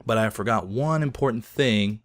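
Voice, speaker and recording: A somewhat low-voiced man in his thirties, hoarse as though he is losing his voice. He rambles through personal stories while playing, chatty and self-deprecating, laughing at himself often. He is recorded at home on a desk mic. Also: moderate pace, dry recording. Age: 30s. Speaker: gaming video host. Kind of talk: casual gameplay commentary.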